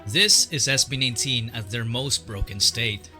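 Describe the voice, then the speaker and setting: A man's voice: medium pitch, normal speed. A man giving scripted, dramatic documentary narration. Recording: narration over loud music.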